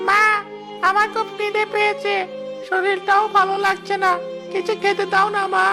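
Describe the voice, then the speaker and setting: A woman's voice: very high voice, level tone, moderate pace, music in the background. A woman voicing a sickly, hungry little village boy in a cartoon, whiny and pleading. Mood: whining. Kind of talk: Bengali cartoon voice acting.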